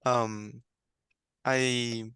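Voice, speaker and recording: Bright, clear Mexican-accented man. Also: mid-range voice, level tone, very slow, no echo, studio quality. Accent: Mexican Spanish. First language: Spanish. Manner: soft-spoken, reserved, laughs lightly. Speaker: guest, YouTuber. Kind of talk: interview answers.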